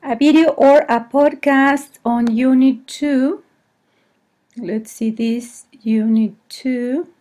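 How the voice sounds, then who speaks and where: A woman teacher with a Mexican accent, presenting to colleagues in a hesitant, halting way and often correcting herself. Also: high-pitched, fairly even intonation, warm tone. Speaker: English teacher. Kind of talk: screen-recorded presentation to colleagues.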